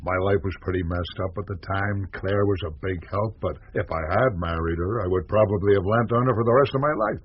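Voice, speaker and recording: A man's voice: deep voice, fairly quick, hardly any pauses, close to an everyday voice. An actor playing a hearty, informal middle-aged newspaperman in a radio drama. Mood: frank, reminiscing. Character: hearty middle-aged newspaperman, settled after restless wandering years. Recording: muffled, noisy radio recording.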